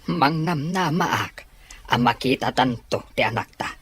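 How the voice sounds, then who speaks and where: Woman acting very deep, husky worried parent. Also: expressive, a little slow, exaggerated voice. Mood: worried, hopeful. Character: worried, hopeful parent of a missing child. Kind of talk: Ilocano audio drama acting.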